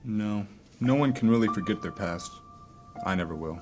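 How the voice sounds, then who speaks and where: A man performs a haunted adventurer in a fantasy audio drama. His voice is deep, his manner terse and brooding. Faint music sits behind him. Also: animated, normal speed, natural voice.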